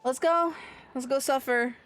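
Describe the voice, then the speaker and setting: A woman's voice: sits high, unhurried, fairly bright tone, soft background music. A woman livestreaming an online game with casual, playful, salty commentary, muttering to herself as she plays. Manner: playful, foul-mouthed mock threats and muttering to self.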